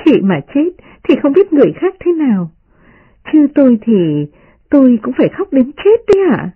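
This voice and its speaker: A performer voicing a playful, sharp-tongued young noblewoman who mocks and teases, in a storybook reading. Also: high voice, very animated, moderate pace, phone-quality sound, pitched above the performer's own voice. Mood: teasing. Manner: playful, mocking.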